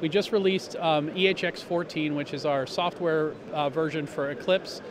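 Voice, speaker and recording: Middle-aged guy at a trade show booth, a bit high voice, lots of ums, noisy hall. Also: steady tone, moderate pace. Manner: explanatory, upbeat, many fillers and restarts. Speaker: company representative. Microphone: handheld interviewer mic.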